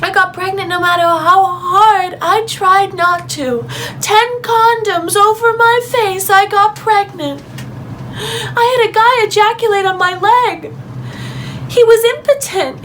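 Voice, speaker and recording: Woman doing exasperated mom giving a blunt talk, real high voice, emphatic, comedy, bit of background noise. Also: a bit bright. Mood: incredulous. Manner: emphatic, deliberate confiding. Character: frank, exasperated, very fertile mother warning her daughter. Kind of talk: comic character monologue.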